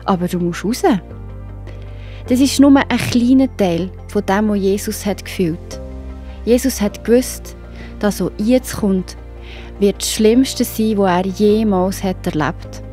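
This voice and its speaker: Woman narrator, Swiss German accent, medium pitch, expressive children's Bible storytelling, light music behind. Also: a little slow.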